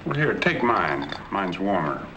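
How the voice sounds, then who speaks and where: An actor playing a husband in a 1940s film, calm, low-key and softly spoken. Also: male voice, measured pace, full character voice. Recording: hissy 1940s film soundtrack.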